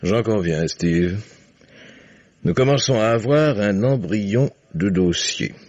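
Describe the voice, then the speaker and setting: Older patriarch, low register, measured, shrewd, 1950s radio drama, dull old recording. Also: male voice, lively intonation, a little mellow, dry recording. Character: older, shrewd, measured father reviewing a legal case. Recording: dull, band-limited vintage radio recording.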